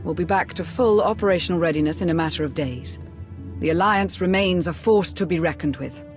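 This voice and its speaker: A pragmatic male strategist, voiced by an actor for a game cutscene. He is cool and formal, with precise wording in a fairly high register. Music is clear underneath. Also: a little fast, lightly acted. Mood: cool, assured. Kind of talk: video game cutscene acting.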